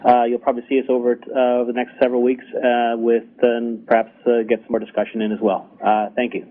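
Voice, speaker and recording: Over a thin, phone-like conference line, a man makes corporate remarks. His delivery is very monotone, in quick halting bursts. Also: medium pitch. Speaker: conference call moderator. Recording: dull band-limited conference call line.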